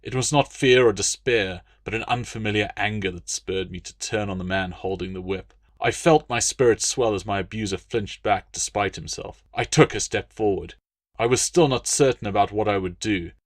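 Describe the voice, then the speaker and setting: A man reading scripted first-person novel narration as an audiobook, in a measured, dramatic storytelling manner. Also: lowish voice, no echo, clean studio sound.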